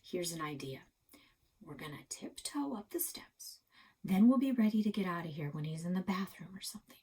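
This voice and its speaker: A woman's voice: lowish voice, lively intonation, normal speed, warm tone, husky. A woman voicing an exasperated, argumentative child in a children's storybook, insistent and combative. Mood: conspiratorial. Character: exasperated, argumentative child narrator arguing back.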